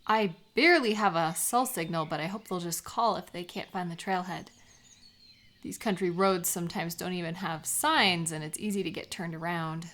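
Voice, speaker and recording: A woman playing a warm, teasing best friend in a lighthearted, intimate audio roleplay, chatting with gentle encouragement and small hesitant pauses. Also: fairly low pitch, lightly acted. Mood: mildly worried.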